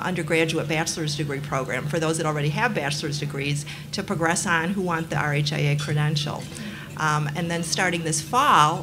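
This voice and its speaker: A woman who runs university programs, speaking on a panel with many ums and pauses. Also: deep voice. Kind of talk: panel introduction. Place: event room. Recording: noisy room recording.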